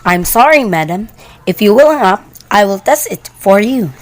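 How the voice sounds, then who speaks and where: A woman's voice: mid-range voice, animated, moderate pace, close to an everyday voice. A woman playing a polite, impassive switchboard operator in an amateur radio play, speaking formally. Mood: polite, detached. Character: polite, unhelpful female telephone switchboard operator.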